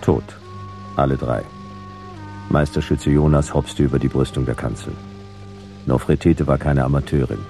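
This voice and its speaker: A man's voice: a bass voice, steady tone, moderate pace, creaky, pauses often, mellow, soft background music. A man playing a laconic hard-boiled detective who narrates a German radio drama in terse, dry sentences.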